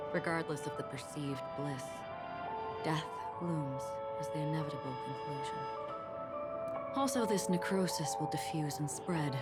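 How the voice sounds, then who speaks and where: A woman voicing a calm, solemn, forgetful swordswoman wanderer in a video game, speaking in a grave, measured, reflective way. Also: low voice. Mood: solemn, detached. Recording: loud music under the voice. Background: loud music.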